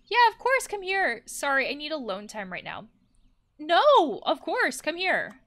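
Woman reading a hesitant, high-voiced kind human. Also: wildly swooping pitch, measured pace, a bit bright, full character voice. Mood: awkward, eager. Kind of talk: visual novel game read-aloud.

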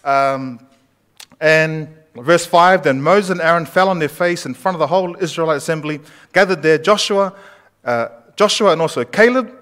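A man's voice: fairly high pitch, moderate pace, fairly bright tone. A man in his thirties preaching a conversational sermon in a church sanctuary, asking the congregation questions as he goes. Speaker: pastor. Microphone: far camera at back of church.

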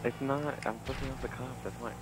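A man's voice: mid-range voice, steady tone, fast. A man chatting and joking with friends while playing video games, laughing and teasing often. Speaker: gamer recording a montage. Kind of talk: casual chat with friends over gameplay. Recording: noisy game chat capture.

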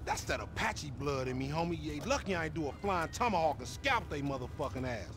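An actor playing a brash, reckless young American gangster in a video game, slangy and trash-talking. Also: male voice, a little high, animated, fast, soft background music. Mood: cocky. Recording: loud engine and traffic noise.

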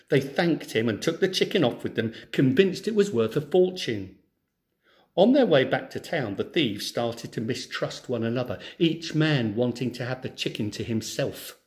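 A man tells a children's story. His delivery is theatrical and expressive, and his medium-pitched voice rises and falls widely. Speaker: storyteller, teacher. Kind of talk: animated children's story reading.

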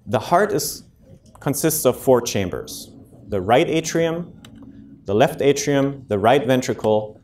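A man's voice: medium pitch, animated, slow, darkish tone, slight background noise. A man in his thirties from Germany with a German accent, a cardiologist explaining a heart condition to a community audience in a lecture room, speaking into a handheld microphone, friendly and plain with many ums and restarts. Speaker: cardiologist giving a public health talk. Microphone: handheld and podium microphone.

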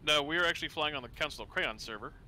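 A man streaming a flight simulator, chatting in a relaxed, matter-of-fact way. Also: a little high, fast, a little hiss or hum. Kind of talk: casual gaming chat.

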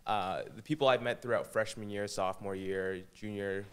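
A young man, a high school senior guesting on a studio podcast, answering questions hesitantly with fillers and restarts. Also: fairly even intonation, normal speed, smooth. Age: young adult. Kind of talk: interview answers. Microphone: boom-arm studio mic.

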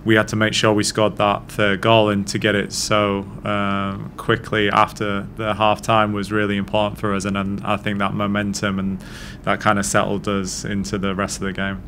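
Man, head coach, low steady voice, measured answers, desk mic, faint rumble. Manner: measured, thoughtful, frequent restarts. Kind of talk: post-match press conference answers. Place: press conference room. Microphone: desk mic on stand.

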